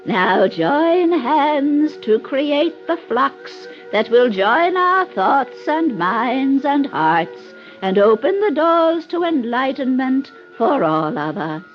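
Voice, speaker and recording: An actress playing a grandiose middle-aged phony spirit medium, intoning melodramatically in old-time radio drama style. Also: female voice, high voice, very animated, slow pace, hardly any pauses, exaggerated voice. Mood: mystical, trance-like. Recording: muffled old radio recording, organ-like music behind.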